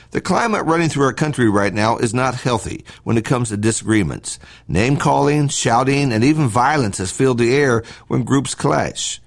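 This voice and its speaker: A man reading a scripted devotional podcast as a preacher, in a measured, earnest, instructive way. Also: mid-range voice, vocal fry.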